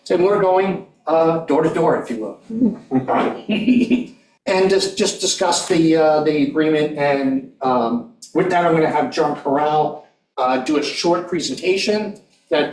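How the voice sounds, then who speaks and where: A man's voice: fairly high pitch, a little slow, darkish tone. A man, a county planning director, politely addressing a town board with many uhs. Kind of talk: formal presentation at a public meeting. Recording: echoey room from far off.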